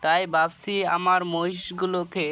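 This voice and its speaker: A woman's voice: fairly low pitch, little pitch movement, a little slow, fairly bright tone, a little hiss or hum. A woman voicing a poor, stubborn village farmer and worried father in a cartoon, earnest and plain-spoken. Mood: earnest, determined. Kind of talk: cartoon character acting. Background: music.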